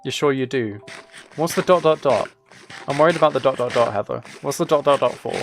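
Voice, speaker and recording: A man's voice: mid-range voice, brisk. Guy streaming a game, midrange voice, rambly chat w viewers, music in the bg. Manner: rambling, musing aloud. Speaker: live streamer. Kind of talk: casual chat with viewers while gaming.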